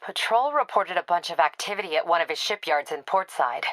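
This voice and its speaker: A tough female police captain for a video game. Her voice is bright and forceful, in a very dry, close recording. She speaks in a clipped, authoritative way, dutiful and a little wry. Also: fairly low pitch, lively intonation, fairly quick, no room sound. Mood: serious, confiding. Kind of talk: video game dialogue acting.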